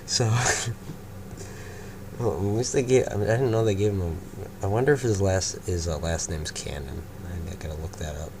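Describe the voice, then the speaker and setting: A young man with a very low voice reads fanfiction aloud, tripping on words and cursing at his own slips. Steady background noise hangs under the recording. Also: moderate pace. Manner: stumbling, swearing, laughs at own slips. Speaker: fanfiction reader, narrator. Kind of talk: amateur fanfiction reading and banter.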